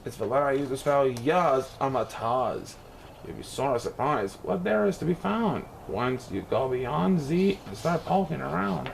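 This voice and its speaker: A man's voice: mid-range voice, expressive, moderate pace, vocal fry, slight background noise, poor audio. A man reading a children's picture book aloud in rhyme, with theatrical, playful emphasis. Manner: theatrical, playful rhyming delivery with exaggerated emphasis. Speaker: storybook reader.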